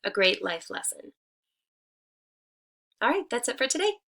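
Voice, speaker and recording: A woman's voice: a little high, very animated, unhurried, creaky, a bit bright. A woman speaking as a teacher in a read-aloud lesson for young children, addressing them directly and explaining things simply.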